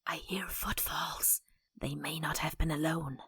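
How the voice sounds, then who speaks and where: A wary bandit woman from a fantasy audio drama, acted by a woman. Her voice is low and extremely husky, and she takes her words slowly. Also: steady tone, a bit bright, no echo, clean studio sound, full character voice. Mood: wary, hushed. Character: wary, watchful female bandit.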